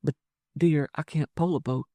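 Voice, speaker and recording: A male narrator playing a tender young heroine of a frontier storybook. The voice is lifted higher, soft and hesitant, pleading anxiously with a lover in a dry recording. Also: steady tone, moderate pace, dry recording, close to an everyday voice. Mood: tender, doubting. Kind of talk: audiobook character dialogue.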